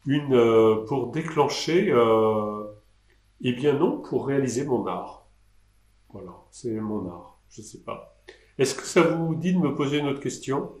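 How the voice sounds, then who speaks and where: An older man answers questions from his desk in an online session, speaking at an ordinary pitch with a hesitant, rambling flow full of restarts. His webcam picks up a roomy echo. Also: lively intonation, measured pace, darkish tone. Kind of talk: live online Q&A. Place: indoor room at a desk.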